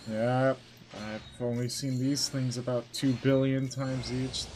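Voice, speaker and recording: A man's voice: mid-range voice, flat delivery, a little slow, a little mellow, a little hiss or hum. A man live-streaming games, chatting casually and reacting in offhand fragments, muttering to himself. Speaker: game streamer. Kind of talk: casual livestream commentary and chat.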